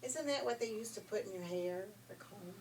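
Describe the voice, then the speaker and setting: A woman's voice: medium pitch, normal speed, darkish tone. Older woman storytelling, recorded from a distance. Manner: conversational, folksy, emphatic. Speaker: grandmother storyteller. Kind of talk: informal fairy-tale storytelling with asides. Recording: distant mic, faint background noise.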